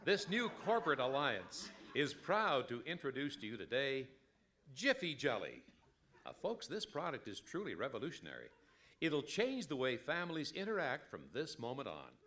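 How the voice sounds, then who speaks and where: An actor playing an enthusiastic announcer reading a mock old time radio commercial. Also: male voice, a little high, wildly swooping pitch, normal speed, a bit bright. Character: smooth-selling, enthusiastic male radio pitchman.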